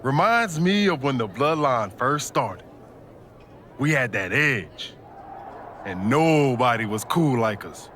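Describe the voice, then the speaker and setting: A man's voice: mid-range voice, animated, slow, a bit bright, a little hiss or hum. A man playing a laid-back, street-smart, disillusioned pro wrestler in a video game cutscene, talking in slang. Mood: wistful, jaded.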